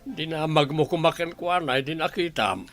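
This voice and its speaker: An actor playing a gruff, suspicious, irritable brother-in-law in an audio drama, with a Filipino accent. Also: male voice, a little high, moderate pace, music in the background, natural voice. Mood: irritated. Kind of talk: Ilocano audio drama acting.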